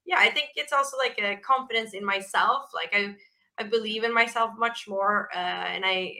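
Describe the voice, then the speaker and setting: A woman rock vocalist with a naturally raspy voice, chatting casually in an interview, with lots of fillers and restarts. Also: medium pitch, moderate pace, bright, forceful tone. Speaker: rock band vocalist, guest.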